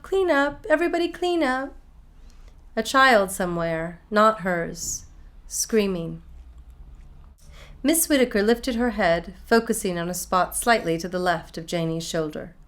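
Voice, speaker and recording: A woman novelist reading from her own book in an expressive, literary storytelling style. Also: medium pitch.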